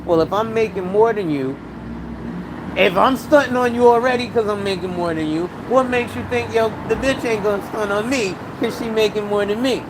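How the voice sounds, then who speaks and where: A man's voice: high-pitched, moderate pace. A man, streaming live on a city street and talking in a blunt, slangy, profane, rambling way. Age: 40s. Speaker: financial advisor, live streamer. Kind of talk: casual live-stream talk. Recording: street noise around.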